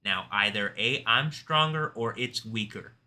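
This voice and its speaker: A man hosting a live stream, talking in an intense, rambling way, confrontational at times and soft-spoken at others. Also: fairly low pitch, a little slow. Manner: calls himself soft-spoken. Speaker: livestream host.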